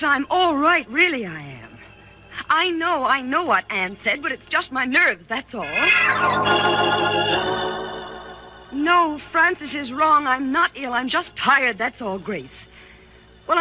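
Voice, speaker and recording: An actress playing an anxious, frightened wife in a 1940s radio thriller, polite, hesitant and pleading. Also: female voice, sits high, bright, forceful tone. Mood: anxious reassurance. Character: anxious, frightened wife doubting her husband. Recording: noisy, crackly 1940s radio recording.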